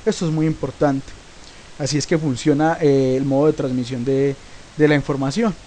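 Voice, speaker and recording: A man's voice: mid-range voice, steady tone, moderate pace, mellow, a little hiss or hum. A man with a sore throat, hosting a recorded lesson on networks. He keeps asking questions and then answering them, with frequent hesitant "eh" sounds. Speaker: network tutorial host.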